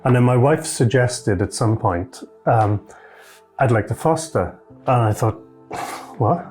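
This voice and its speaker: A foster father telling a lively, self-mocking story about his family. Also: mid-range voice, measured pace, faint music behind. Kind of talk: anecdotal storytelling.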